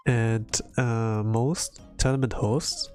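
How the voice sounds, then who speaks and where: A man from Europe, a non-native English speaker, chatting casually on a livestream in a hesitant, halting way with lots of fillers, sounding tired. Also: mid-range voice, steady tone, warm tone, clear, resonant tone. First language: not English. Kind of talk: casual rambling livestream chat. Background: background music.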